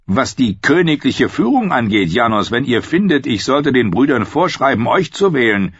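A man's voice: medium pitch, big pitch swings, moderate pace, breathy edge, no echo, voice pushed up. A man voicing a cold, impatient king who speaks curtly and commandingly, in a slightly dull audiobook recording. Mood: stern. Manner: curt, commanding.

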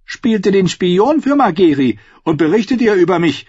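A man's voice: high voice, big pitch swings, normal speed, a little mellow, breathy edge, no room sound, muffled recording, pitched above the performer's own voice, exaggerated voice. A man voicing a cold, contemptuous queen who interrogates sharply. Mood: scornful. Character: cold, scornful adult queen.

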